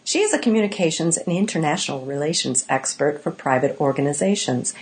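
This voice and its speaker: A woman's voice: low voice, lively intonation, fairly quick, a little mellow, slightly rough. A woman hosting a podcast in a scripted, upbeat way, mixing Italian phrases into her English. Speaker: podcast host.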